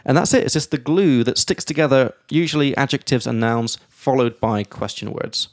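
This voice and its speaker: A man hosting a casual podcast, explaining English grammar in a lively way and reading out example sentences. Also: medium pitch, moderate pace, no echo, clean studio sound. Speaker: podcast host and English teacher.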